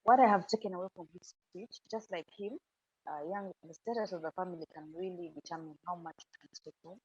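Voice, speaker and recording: A woman with a Ugandan accent and a husky voice from a cold, speaking haltingly on a video call. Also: lowish voice, no echo. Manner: halting, many fillers. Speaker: club member. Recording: dry, dull video call audio.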